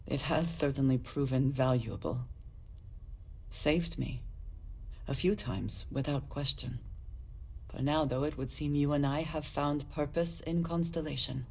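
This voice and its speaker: A woman voicing a guarded, solemn space explorer from a hard upbringing in a video game, speaking formally and deliberately. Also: low-pitched, a little mellow. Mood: sincere. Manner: earnest, formal diction, deliberate. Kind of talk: measured dramatic game dialogue.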